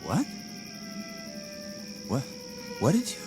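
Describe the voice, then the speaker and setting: A shaken army officer in a radio war drama. He speaks slowly and haltingly, with a wavering, varied pitch, under loud music. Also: huge pitch swings, vocal fry, heavily acted. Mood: dazed. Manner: halting, stammering. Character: shaken, hesitant male army lieutenant. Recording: loud music bed over voice.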